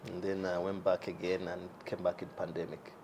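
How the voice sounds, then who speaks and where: A man's voice: low-pitched, monotone, moderate pace, vocal fry, background noise. A young man as an interview guest, talking casually with many fillers and easy laughs. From Uganda. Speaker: guest, entrepreneur.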